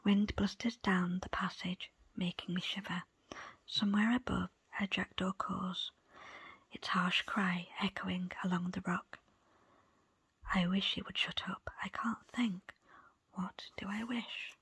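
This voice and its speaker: Slow whispering woman, ASMR reading. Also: medium pitch, steady tone, no echo. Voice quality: breathy, unvoiced whisper. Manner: soft, unhurried, deliberate phrasing. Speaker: book presenter and reader.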